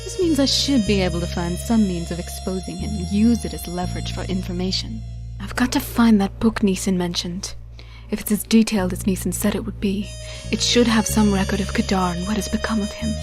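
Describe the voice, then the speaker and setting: A woman acting a young female thief in a game, cynical and set on her goal. Her delivery is dry and resolute at a middling pitch, with music clearly playing behind. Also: natural voice. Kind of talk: game briefing narration and cutscene dialogue.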